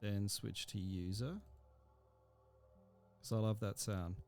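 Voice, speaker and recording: A man giving an informal, hands-on software tutorial, thinking aloud as he works through each step. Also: on the low side, fairly even intonation, slow pace, faint music behind. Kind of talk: casual hands-on screen tutorial.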